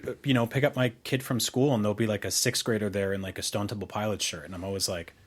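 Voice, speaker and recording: A man's voice: on the low side, steady tone, fast talker. Middle-aged man chatting casually, swearing freely. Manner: profane and informal. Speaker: podcast co-host. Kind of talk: casual, rambling chat.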